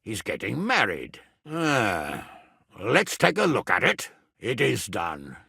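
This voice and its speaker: An actor playing a blustering, irascible middle-aged medieval nobleman in a video game, with a gravelly, raspy voice and a gruff, commanding manner. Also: fairly low pitch, wildly swooping pitch, slow, bright, forceful tone, dry recording, clean studio sound, full character voice. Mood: grave, matter-of-fact. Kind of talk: dramatic video game character dialogue.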